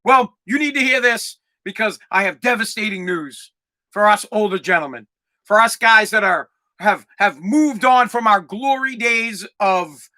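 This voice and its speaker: A man in his forties hosting a comedic solo podcast, ranting in a profane, rambling way with lots of stammered restarts and bursts of shouting. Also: high voice, measured pace. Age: 40s. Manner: exaggerated, swings into loud shouting. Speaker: podcast host.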